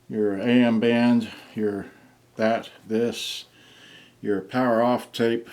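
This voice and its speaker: A man giving a casual, unscripted gadget review in a halting, rambling way, often trailing off mid-thought. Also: on the low side, a little mellow. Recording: distant mic with clear noise and clicks.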